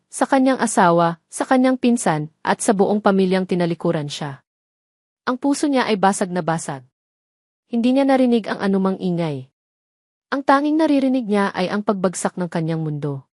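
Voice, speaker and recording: A woman narrates a dramatic audio story. Her voice sits at an average pitch. The sound is dry, with very little room on it. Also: a little mellow, dry recording.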